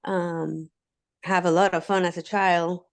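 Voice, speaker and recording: Low-voiced Puerto Rican woman in her fifties. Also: little pitch movement, a little slow, smooth. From Puerto Rico. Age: 50s. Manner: warm storyteller with many "um"s, laughing often. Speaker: guest. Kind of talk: casual interview talk.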